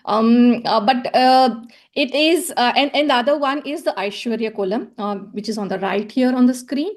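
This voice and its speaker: Woman, slightly high voice, halting delivery, many ums and restarts, presenting slides. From South India. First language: Tamil. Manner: hesitant, many fillers and repeated words. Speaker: conference presenter.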